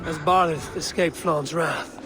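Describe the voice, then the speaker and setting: An actor playing a stern, calm male Norse warrior who speaks in a measured, grave way. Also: fairly high pitch, natural voice. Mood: curious. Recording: game audio under loud music.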